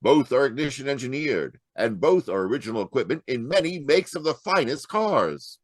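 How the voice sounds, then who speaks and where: A man's voice: medium pitch, big pitch swings, normal speed, no echo. An actor playing an upbeat old-time radio announcer with a polished salesman's delivery. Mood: upbeat, selling.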